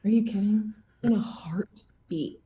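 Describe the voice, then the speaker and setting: A woman talks casually as an interview guest. Her medium-pitched voice is animated and given to playful exaggeration. The recording has a clear room echo around her. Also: unhurried. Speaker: actor being interviewed. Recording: roomy, echoing sound.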